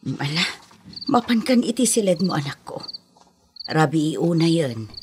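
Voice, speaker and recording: A woman playing a concerned, gently watchful middle-aged mother in an Ilocano radio drama, with an Ilocano accent, on an old recording. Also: deep voice, very animated, a little slow, noisy background. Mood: advising, soothing. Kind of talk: Philippine radio drama acting.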